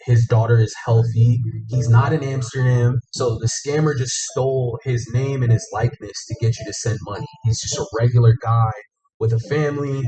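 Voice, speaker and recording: A male host speaks at a mid pitch in an earnest, matter-of-fact way. Clear music runs underneath his voice throughout. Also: rough recording. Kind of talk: reality show talk.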